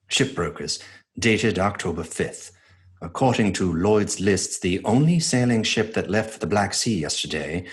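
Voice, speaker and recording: An actor playing an earnest Victorian gentleman who narrates reports of a vampire hunt in a radio drama, measured and formal. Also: deep voice. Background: soft music.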